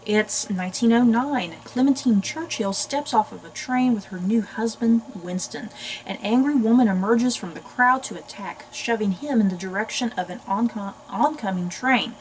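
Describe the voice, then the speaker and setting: A woman's voice: on the high side, moderate pace, a little hiss or hum, poor audio. An American woman hosting a book channel, chatting casually and expressively to viewers as she reads synopses aloud. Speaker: book review channel host.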